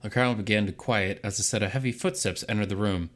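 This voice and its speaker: A man's voice: fairly low pitch, quick pace, fairly bright tone. A man reading dramatic first-person story narration from a visual novel script.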